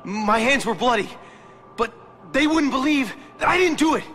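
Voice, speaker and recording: A man's voice: very high-pitched, lively intonation, normal speed, pushed and bright, full character voice. An actor playing a weak, frightened captive salesman in a video game, stammering and pleading. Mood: anxious, explaining. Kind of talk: video game cutscene acting.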